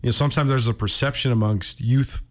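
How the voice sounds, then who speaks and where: American guy hosting sports radio, regular pitch, casual but opinionated, hammers his points. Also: fairly even intonation, fast. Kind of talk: casual chat on a local radio show.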